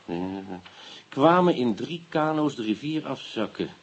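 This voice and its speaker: Investigator, radio play, middle pitch, rough-edged husky voice, probing, deliberate, dryly sardonic. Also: male voice, lively intonation, dull audio. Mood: muttering, reading. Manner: questioning, deliberate, dry. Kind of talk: radio drama dialogue.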